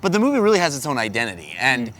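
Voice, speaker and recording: A man's voice: mid-range voice, huge pitch swings, very fast, a bit bright. An American man reviewing a movie as a show host in casual, unscripted chat, recorded with outdoor street noise behind him.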